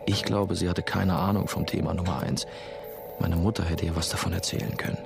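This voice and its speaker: A man's voice: low-pitched, brisk, vocal fry, music in the background. An actor playing a young novice monk who narrates his past in a radio play, dry, laconic and sardonic. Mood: dry, reflective. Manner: deadpan, laconic.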